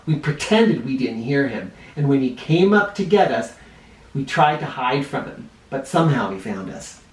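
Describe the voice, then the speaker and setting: A man reads a picture book aloud to children with a very animated, swooping delivery. He leans on key words for dramatic effect and addresses young listeners directly. The sound is roomy and echoing, a little away from the microphone. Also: medium pitch, moderate pace, recorded from a distance. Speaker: children's storybook reader. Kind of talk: expressive read-aloud storytelling for children.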